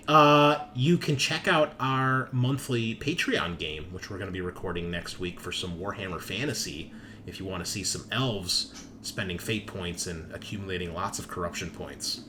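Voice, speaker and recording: A man with a fairly low voice hosts a tabletop gaming show. He runs through announcements in a casual, joking and profane way. There is noticeable noise behind him. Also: moderate pace. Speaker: host and game master of a tabletop gaming show.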